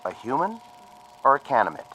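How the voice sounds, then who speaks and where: An actor playing a formal, exacting official who questions deliberately and ceremoniously in a radio drama. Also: male voice, mid-range voice, wildly swooping pitch, sharp tone, a little hiss or hum, rough recording. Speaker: official presiding over a demonstration.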